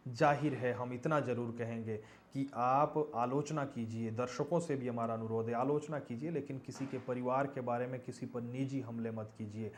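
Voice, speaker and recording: A man's voice: medium pitch, a little mellow. A man presents news commentary to viewers, stressing key phrases and posing rhetorical questions.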